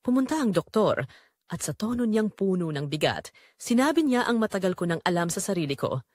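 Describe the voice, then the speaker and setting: A woman's voice: mid-range voice, big pitch swings, a little fast, a little mellow, no room sound, studio quality. A woman playing a dying, long-suffering mother, narrating her own audio drama in a solemn, pleading, tender way. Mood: pained, somber. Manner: solemn, sorrowful, pleading storytelling.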